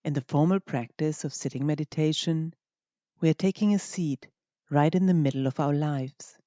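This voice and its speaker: A meditation teacher gently guiding a practice in short, unhurried phrases with pauses between them. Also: medium pitch, no echo, lo-fi sound. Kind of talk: guided meditation narration.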